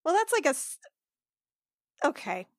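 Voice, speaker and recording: High-voiced woman chatting at the game table. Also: very animated, a little slow, no room sound, studio quality. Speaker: player in a tabletop roleplaying game. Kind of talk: casual table chat.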